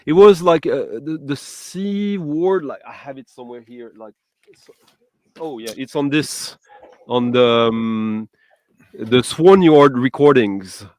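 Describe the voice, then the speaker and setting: A male host talks music with real enthusiasm and often stops to search for the right word. English is not his first language. His voice is smooth and clear, and it sits at a middling pitch in a dry, close sound. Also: expressive, takes it slowly, darkish tone, no room sound. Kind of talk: casual music chat.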